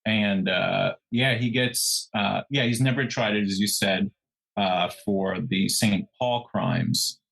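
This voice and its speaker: A man's voice: lowish voice, slow pace, gravelly, a bit bright, poor audio. Male true crime co-host. Conversational storyteller who stumbles, backtracks and corrects himself as he goes.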